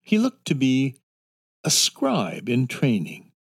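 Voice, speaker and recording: A man narrating a children's fantasy audio drama in an expressive storytelling manner. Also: medium pitch, breathy edge, no room sound, clean studio sound. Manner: slow, expressive.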